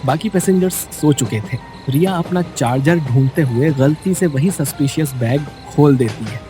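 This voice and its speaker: A man's voice: medium pitch, moderate pace, rough recording. A man reading a scripted bedtime story in a dramatic storytelling style, as narrator. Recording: music bed behind the voice. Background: background music.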